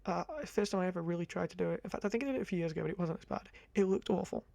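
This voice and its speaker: A young man in his twenties with a high-pitched voice, rambling casually to camera in a chatty, self-deprecating ASMR talk on a close mic. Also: steady tone, rapid-fire, creaky, darkish tone, dry recording. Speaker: ASMR creator. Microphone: close condenser mic.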